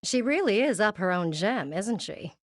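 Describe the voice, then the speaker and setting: A woman voicing a curt, impatient, scornful gem villain in an animated game. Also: medium pitch, moderate pace, background noise, close to an everyday voice. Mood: irritated, cold.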